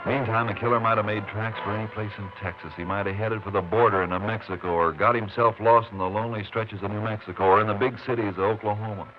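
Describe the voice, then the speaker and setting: An American actor playing a steady, dogged Texas Ranger in a 1950s radio western, speaking in a dry, plain, folksy way. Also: male voice, fairly low pitch, quick pace, few pauses, over music, lightly acted. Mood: measured, determined. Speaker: lead actor and narrator. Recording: muffled, noisy 1950s radio recording.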